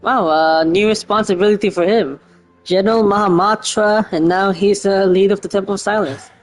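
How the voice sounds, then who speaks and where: A man's voice: sits high, steady tone, normal speed. A man streams a game and comments off the cuff. He talks informally and a little hesitantly, with faint music behind him. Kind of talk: casual off-the-cuff game reaction.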